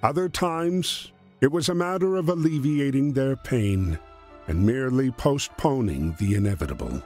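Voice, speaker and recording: A man performing as a solemn storyteller, narrating a wartime adventure game in a measured, grave, expressive way. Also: mid-range voice, lightly acted. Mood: solemn storytelling. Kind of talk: scripted dramatic storytelling narration. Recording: music under the voice.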